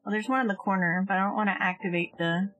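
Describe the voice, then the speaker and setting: A woman's voice: medium pitch, fairly even intonation, quick pace, fairly bright tone, highs cut off, faint music behind. A woman livestreamer chatting casually as she plays a game, on a close mic. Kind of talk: casual game stream chat.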